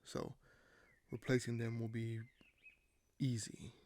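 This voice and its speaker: A man playing a weary, paranoid, dryly candid king in an audio roleplay, speaking in measured, formal phrases with hesitant pauses. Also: mid-range voice, flat delivery, gravelly, darkish tone. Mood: guarded, wry. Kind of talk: audio roleplay monologue to a silent listener.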